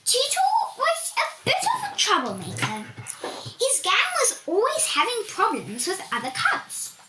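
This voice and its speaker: High, very animated woman reading a storybook. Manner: deliberate, expressive storytelling with emphatic swoops. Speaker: volunteer story reader. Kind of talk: children's storybook read-aloud. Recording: echoey room with background hiss.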